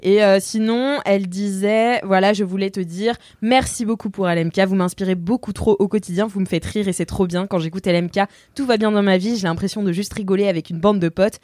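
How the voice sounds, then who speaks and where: A woman's voice: medium pitch, fairly even intonation, brisk, hardly any pauses, a little mellow, dry recording. A woman hosting a casual podcast, chatty and laughing often as she reads listener messages aloud. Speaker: podcast host.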